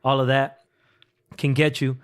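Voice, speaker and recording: A man's voice: mid-range voice, little pitch movement, a little slow, clean tone. A man from Costa Rica, a singer guest on a podcast, easygoing and joking in casual chat, a bit stuffy from a cold. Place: studio.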